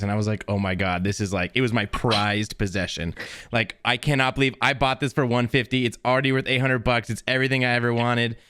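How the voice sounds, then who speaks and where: A man's voice: medium pitch, fairly bright tone, smooth, no echo, studio quality. A man in his twenties telling personal stories in casual, slangy chat, often laughing as he talks. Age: 20s. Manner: laughs while talking, informal slang.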